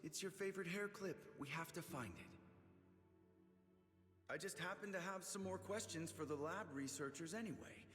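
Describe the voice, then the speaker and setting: A gentle, devoted father in a game memory. His slightly high male voice is tender and reassuring. It echoes in long reverb over soft music. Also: moderate pace. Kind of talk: dramatic game flashback acting.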